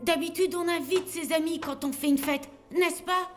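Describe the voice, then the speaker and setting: An actor voicing a sulky, hurt wolf thief in an animated film, aggrieved and sarcastic. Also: very high-pitched, normal speed, faint music behind.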